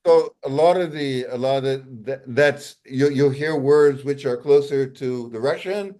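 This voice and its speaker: Male guest interviewer for a casual multilingual chat, talkative and explanatory, mid-range pitch. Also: a little slow, dark tone.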